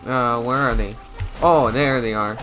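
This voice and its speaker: A man's voice: mid-range voice, unhurried, music in the background. A man giving casual gameplay commentary, hesitant and thinking aloud. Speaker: game streamer.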